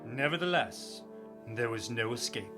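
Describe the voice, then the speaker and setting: A man's voice: medium pitch, animated, moderate pace, music in the background, natural voice. An actor playing a bold sailor who tells his tale in a theatrical, formal storybook manner for a radio drama. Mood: grave narrating. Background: musical score.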